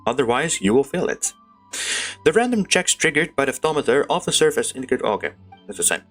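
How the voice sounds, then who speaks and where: A woman's voice: rumbling low, very animated, quick pace. A woman doing casual live game commentary with slangy, informal talk, sometimes reading text off the screen. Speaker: gaming streamer playing a story game. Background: game music.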